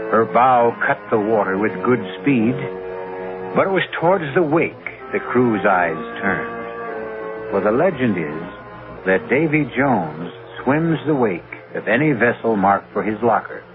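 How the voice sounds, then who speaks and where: A man hosting and narrating a radio mystery in a grave, measured, theatrical style. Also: on the low side, big pitch swings. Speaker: host and narrator. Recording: thin narrow-band old radio, music underneath. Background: dramatic music.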